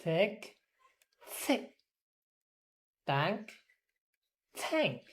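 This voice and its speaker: A woman, a pronunciation student, carefully repeating drill words and haltingly reading practice sentences word by word. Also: on the low side, very animated.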